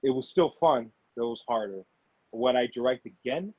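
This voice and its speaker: A man's voice: medium pitch, moderate pace, no echo. Male interview guest, conversational and rambling, repeats himself, dry but dull lo-fi recording. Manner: rambling, repeats and restarts phrases. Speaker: guest actor and filmmaker. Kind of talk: casual interview talk. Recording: dull lo-fi audio.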